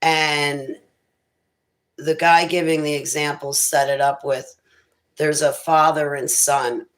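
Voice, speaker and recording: A woman with a raspy voice hosting a casual livestream, rambling through her plans and her faith. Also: low-pitched, flat delivery, takes it slowly. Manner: rambling, faith-filled musings. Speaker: internet radio host.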